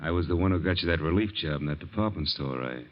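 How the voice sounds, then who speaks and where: An actor playing a weary detective lieutenant who questions suspiciously in a 1940s radio drama. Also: male voice, very deep voice, lively intonation, fast talker. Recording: dull old radio broadcast.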